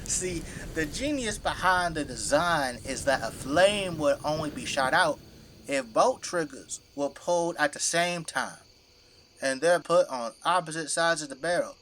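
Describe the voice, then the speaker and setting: A man's voice: a little high, expressive, unhurried, fairly bright tone, slight background noise. An actor playing a folksy, boastful, tipsy middle-aged father who fights zombies with a flamethrower in an audio drama. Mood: proud, showing off. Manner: folksy, colloquial, easygoing bragging.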